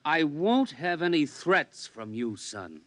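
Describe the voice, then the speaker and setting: A man's voice: a little high, sing-song, takes it slowly, no room sound. An American man acting a worried, stern suburban father in a 1950s radio drama. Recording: vintage radio recording with music behind.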